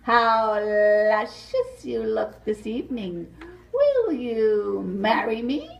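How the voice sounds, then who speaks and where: A woman voicing a sly male cat courting a lady, slow and smooth. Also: fairly high pitch, big pitch swings, echoey room, exaggerated voice, rough recording.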